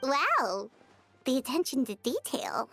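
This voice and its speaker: A woman voicing a small, excitable, curious fairy companion in a video game, with a squeaky childlike voice and exclamatory manner. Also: slow, faint music behind, exaggerated voice. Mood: impressed. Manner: exclamatory, talks of self in third person.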